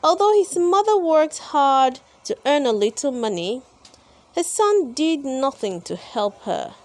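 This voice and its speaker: Slow, high-pitched woman reading a storybook expressively. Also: very animated. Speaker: storybook reader and channel host. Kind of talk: expressive children's read-aloud narration.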